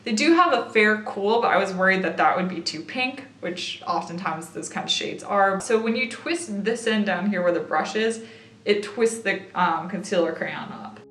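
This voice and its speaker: Slightly raspy woman in an echoey room. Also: medium pitch, lively intonation, normal speed, fairly bright tone. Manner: chatty, thinks aloud while applying makeup. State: tired after a late night. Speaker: beauty vlogger. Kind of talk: casual makeup haul and try-on.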